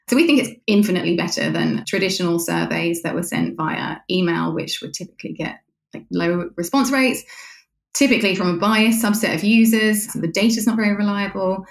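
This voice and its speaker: Woman, interviewee, conversational explaining, lowish pitch, roomy echoey recording. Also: gravelly. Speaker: interviewee explaining a company product.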